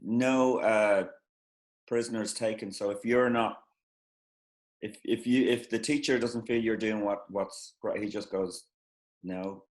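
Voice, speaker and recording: A man's voice: lowish voice, steady tone, a little slow, roomy sound, rough recording. A man hosting a comedy interview show, asking questions in a stumbling way with many fillers and restarts. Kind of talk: casual interview.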